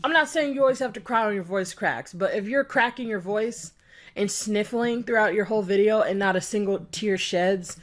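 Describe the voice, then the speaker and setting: A woman's voice: medium pitch, moderate pace. A woman giving a sarcastic, exasperated commentary rant while reacting to a video. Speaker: commentary youtuber. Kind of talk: reaction rant over a clip.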